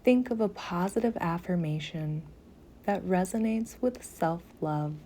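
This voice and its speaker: A woman guiding a meditation in a soothing, gentle manner, softly breathy at phrase ends, with long pauses between short phrases. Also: fairly low pitch, lively intonation, a little hiss or hum. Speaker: yoga nidra meditation guide. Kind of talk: slow guided meditation.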